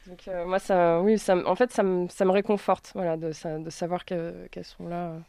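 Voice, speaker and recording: A woman giving casual, spontaneous testimony as a mother, halting and often leaving sentences unfinished. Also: on the low side, level tone, creaky, warm tone, clear, resonant tone. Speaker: mother interviewed at a health centre.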